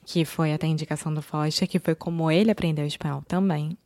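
A woman's voice: sits low, steady tone, quick pace, darkish tone. A woman with a Brazilian accent, chatting casually as a podcast co-host. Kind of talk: casual chat.

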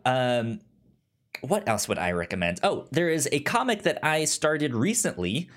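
Man podcaster, mid-pitched, halting delivery. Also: big pitch swings, fairly bright tone. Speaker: podcast co-host. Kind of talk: casual chat about films.

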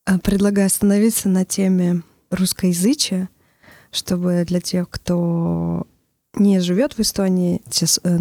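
A woman's voice: fairly low pitch, warm tone, no room sound. A woman from Estonia hosting a podcast, speaking earnestly and a little hesitantly, asking open questions. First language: Russian. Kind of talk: podcast conversation.

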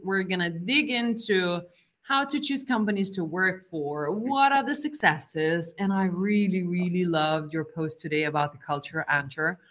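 A woman's voice: on the low side, measured pace, a bit bright. A woman hosting an interview, guiding the talk in a friendly way. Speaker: podcast host.

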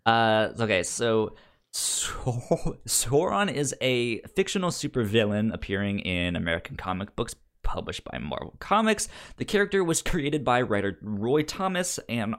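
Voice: medium pitch, very animated, unhurried. A podcast co-host chatting casually about comics, with a stammer that often repeats sounds and words.

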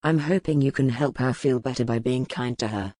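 A woman voicing a gentle, grave duke who speaks in a calm, measured way. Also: very deep voice, no room sound, studio quality, voice pushed down. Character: gentle grave male duke, lord of the castle.

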